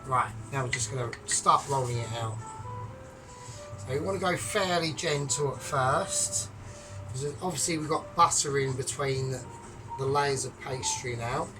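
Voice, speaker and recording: A man's voice: mid-range voice, a little slow, poor audio. A man chatting his way through a cooking tutorial, explaining each step with joking asides. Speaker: home cook. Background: music.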